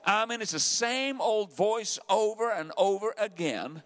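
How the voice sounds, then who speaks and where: A South African man preaching a sermon to his church, dramatic, dropping to hushed asides and then climbing loudly. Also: high-pitched, animated, unhurried. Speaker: pastor.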